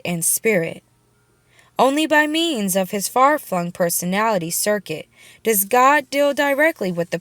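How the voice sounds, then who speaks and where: A woman reads a religious book aloud as an audiobook narrator, solemn and measured, pausing deliberately between phrases. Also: mid-range voice, dry recording. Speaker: audiobook reader. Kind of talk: scripted reading of a religious book.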